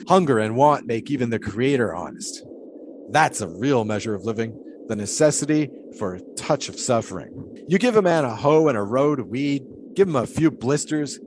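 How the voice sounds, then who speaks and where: A male actor plays an eccentric 1920s flagpole sitter in an audio drama, a folksy man who loves to philosophize. He speaks at a middle pitch in long, pious musings full of old-time idiom. Background ambience sits clearly behind him. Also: noisy background, natural voice.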